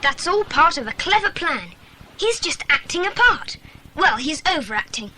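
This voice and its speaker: A woman's voice: sits high, very animated, normal speed, noisy background. A woman playing a bold, bossy boy who leads his friends in a children's audio drama, with a commanding manner. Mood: defiant. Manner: commanding, decisive.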